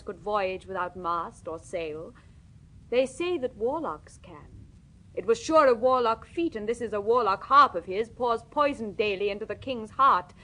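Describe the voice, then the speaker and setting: A woman's voice: medium pitch, pushed and bright, poor audio. A woman voicing envious barons in a storybook tale, insinuating in formal archaic speech. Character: scheming envious male barons accusing a hero of sorcery.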